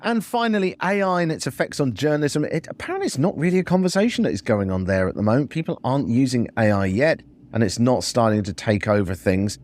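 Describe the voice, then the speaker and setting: A man's voice: medium pitch, animated, quick pace, darkish tone. A middle-aged man hosting a solo podcast, speaking in a reflective, conversational way and sharing personal anecdotes. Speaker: podcast host. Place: hotel room.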